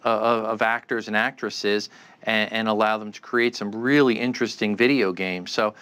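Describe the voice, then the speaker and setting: A man's voice: lowish voice, fairly even intonation, moderate pace, fairly bright tone, clear, resonant tone. A man giving unscripted expert answers in an interview, explaining things with frequent stammered repeats. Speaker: expert guest, commentator.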